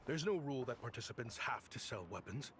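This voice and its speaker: An actor playing a gentle, earnest, protective father figure in a game, calm and sincere. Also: medium pitch, fairly quick, background noise, close to an everyday voice. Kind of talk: game voice acting.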